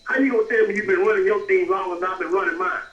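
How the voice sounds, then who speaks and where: An indignant man with a high voice, talking fast. He argues combatively and emphatically over a muffled, echoey phone line. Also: a bit bright, recorded from a distance. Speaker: prank call recipient. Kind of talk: heated phone argument.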